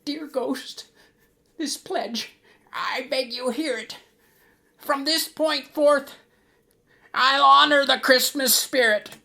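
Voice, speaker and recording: A man voicing a fretful, pleading old miser in a storybook verse tale, with drawn-out imploring delivery. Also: very high-pitched, vocal fry, pushed and bright, voice pushed up, heavily acted.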